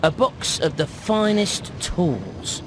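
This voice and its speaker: A man playing a cheeky, shifty rat salesman in an animated game, with oily, theatrical patter. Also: sits high, slow, slight background noise, exaggerated voice. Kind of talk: animated video game voice acting.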